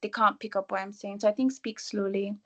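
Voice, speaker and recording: Woman with a Nigerian accent, quick apologetic phrasing. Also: medium pitch, fairly even intonation, fairly bright tone. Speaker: panelist.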